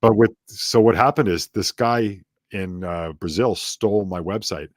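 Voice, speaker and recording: A man's voice: lowish voice, unhurried, no echo, studio quality. A middle-aged man as an interview guest, chatting casually and rambling off onto tangents with self-deprecating asides.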